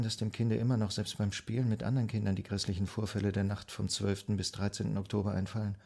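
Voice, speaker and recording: An actor playing a formal 1920s reporter in a radio drama, soberly reading out a court account. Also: male voice, on the low side, gravelly, a little mellow, breathy edge. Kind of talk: scripted narration in a radio drama.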